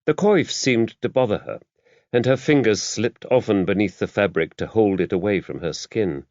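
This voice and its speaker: A man's voice: on the low side, moderate pace, darkish tone, dry recording, dull audio. A man reading a mystery novel aloud as scripted audiobook narration.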